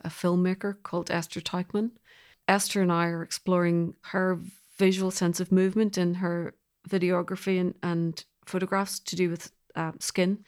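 A middle-aged woman being interviewed about her work as a composer, speaking reflectively in measured, considered phrases. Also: on the low side.

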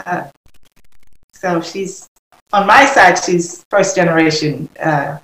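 A woman's voice: lowish voice, takes it slowly, a bit bright, a little hiss or hum. Middle-aged woman guest, echoey video call. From Trinidad. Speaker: podcast guest. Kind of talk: conversational personal recollection.

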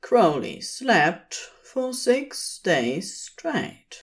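Female fiction narrator, gentle and expressive. Slow delivery, very varied intonation. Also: medium pitch. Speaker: podfic reader.

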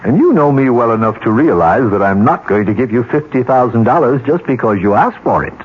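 A firm, suspicious businessman in a radio mystery. His mid-pitched voice is slightly husky. The 1970s recording is thin and phone-like. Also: animated, fairly quick.

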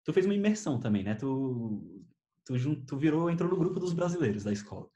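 Fast-talking young Brazilian man. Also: medium pitch. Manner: animated, slangy storytelling. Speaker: host. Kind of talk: casual chat, telling memories.

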